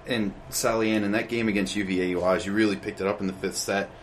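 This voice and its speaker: A man's voice: on the low side, steady tone, fast talker, slight background noise. A man hosting a college sports podcast, asking prepared questions in a friendly way. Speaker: podcast host. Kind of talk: sports interview.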